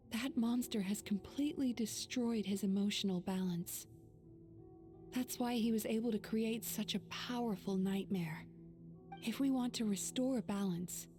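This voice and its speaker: A woman playing a calm, wise young dream healer in a video game, explaining things in a measured, composed way. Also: mid-range voice, lightly acted.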